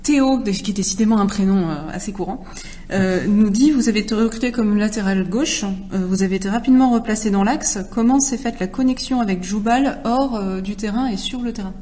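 A woman's voice: mid-range voice, a little fast, dark tone. A woman hosting an interview session, reading out questions sent in by supporters. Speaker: host relaying supporters' questions.

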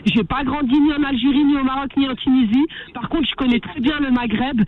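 A woman's voice: high-pitched, steady tone, brisk. A woman from France calling a radio phone-in and arguing her point insistently over the phone. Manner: insistent, argumentative. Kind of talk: heated live debate. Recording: thin narrowband phone line.

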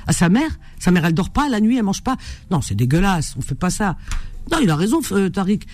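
A woman's voice: medium pitch, big pitch swings, fairly quick, a little mellow. A woman hosting a call-in radio show, outspoken and spontaneous, bantering freely with callers.

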